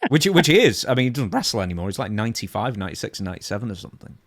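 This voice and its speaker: A man's voice: on the low side, very animated, rapid-fire. A man co-hosting a casual interview, asking questions in a conversational, self-correcting way. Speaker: podcast co-host asking questions.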